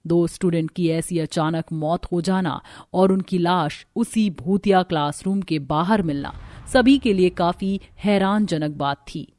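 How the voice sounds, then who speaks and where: A woman narrator reading a scripted animated horror story. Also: on the low side, a little slow, no echo.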